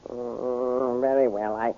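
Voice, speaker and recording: A man's voice: mid-range voice, level tone, takes it slowly. A man playing a hesitant, grumbling court magician in an old radio drama, stammering with many ums. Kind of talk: 1930s radio drama acting. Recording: dull lo-fi old radio recording, faint hiss.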